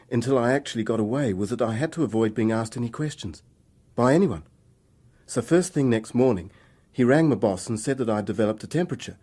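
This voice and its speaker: A man's voice: medium pitch, mellow, husky, lightly acted. An actor in a radio drama playing a troubled young man on the run, speaking in a hesitant, confessional way.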